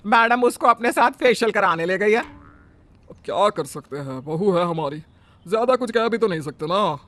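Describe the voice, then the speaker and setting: A man's voice: high voice, expressive, moderate pace, fairly bright tone. A man voicing a fussy, bossy middle-aged mother-in-law who scolds and complains. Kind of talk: Hindi family drama voice acting.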